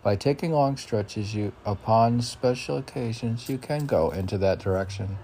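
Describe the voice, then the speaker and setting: A man's voice: on the low side, moderate pace, warm tone, a little hiss or hum. A man reading his own poetry aloud and musing to himself between lines, often repeating phrases. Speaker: poet reading own work. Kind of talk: poetry reading with muttered asides.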